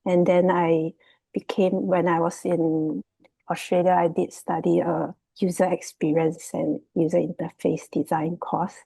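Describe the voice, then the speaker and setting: A Singaporean woman with a husky, fairly low voice gives an informal presentation. The sound is dry and close, and her delivery is hesitant and loosely phrased. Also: level tone, a little slow, mellow, no room sound, rough recording. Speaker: guest, property investment student. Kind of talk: casual unscripted presentation. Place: remote video call.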